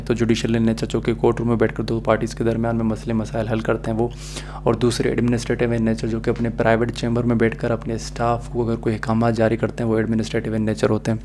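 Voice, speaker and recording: A man's voice: medium pitch, a little mellow. A man gives an explanatory law lecture, speaking didactically and mixing in English phrases. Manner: didactic, checks in with listeners often. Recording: faint background hum.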